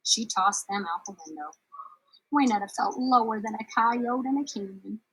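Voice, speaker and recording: A woman's voice: medium pitch, normal speed, fairly bright tone, husky, low-quality recording. A woman reading a children's story aloud expressively as a storyteller.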